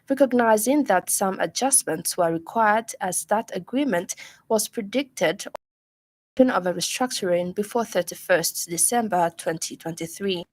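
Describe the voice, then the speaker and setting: Female newsreader, mid-pitched, formal bulletin delivery. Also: a little mellow, no echo. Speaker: radio news presenter. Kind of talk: formal news bulletin reading.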